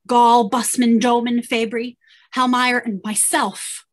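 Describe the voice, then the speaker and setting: Radio drama: woman as solemn, guilt-stricken official, measured and mournful, slightly high voice. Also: flat delivery. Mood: remorseful. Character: remorseful, solemn company official. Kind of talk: old-time radio drama acting.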